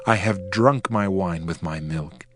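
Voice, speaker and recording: A man's voice: sits low, a little slow. A man playing a tender, adoring bridegroom in a dramatized scripture reading, solemn and poetic, with music behind him. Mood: tender celebration. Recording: loud music under the voice.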